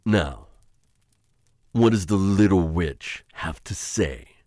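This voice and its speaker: A man playing a menacing, conflicted witch hunter in an ASMR roleplay, addressing his captive in a halting, intimate, taunting way. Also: deep voice, animated, a little mellow, no echo, studio quality.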